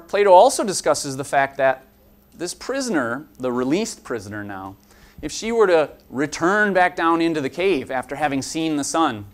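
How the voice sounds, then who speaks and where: Young man giving an earnest lecture. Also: fairly high pitch. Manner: earnest, explanatory, gesturing while talking. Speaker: college instructor. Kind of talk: lecture to students. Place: conference room with audience.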